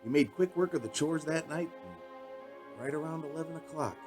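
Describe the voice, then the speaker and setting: A man acts out a nervous, earnest young man in a radio play, recounting something frightening. His voice sits fairly high and swings expressively, with music playing underneath. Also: expressive, normal speed, a little mellow, natural voice. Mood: tense recounting. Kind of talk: radio drama acting and narration.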